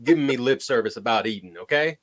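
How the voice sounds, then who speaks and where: A man's voice: mid-range voice, expressive, quick pace, crowd noise. A young man co-hosting a sports podcast, talking in a laid-back, casual way. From half Mexican, half Persian heritage. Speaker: podcast co-host.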